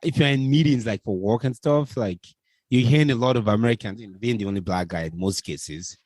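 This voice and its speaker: A Nigerian-accented man of mid pitch, speaking in a thoughtful, reflective way. He is recorded dry and close in a studio, with no room sound around him. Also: brisk, darkish tone, no room sound. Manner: reflective, affirming, many backchannels. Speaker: podcast co-host. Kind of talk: casual chat.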